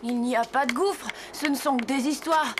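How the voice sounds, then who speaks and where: A woman's voice: high voice, normal speed, natural voice. A woman voicing an impatient, curious, headstrong young boy in a children's cartoon. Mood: defiant, scoffing. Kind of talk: French children's cartoon dubbing. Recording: music behind the voice.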